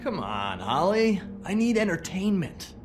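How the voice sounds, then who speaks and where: Smug mouthy barfly in a game, higher male voice, swings all over, sarcastic and needling. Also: sing-song, measured pace, music in the background. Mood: mocking, smug. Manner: sarcastic, theatrical, talkative. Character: smug, mocking, needling loudmouth barfly troublemaker. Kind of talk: dramatic video game acting.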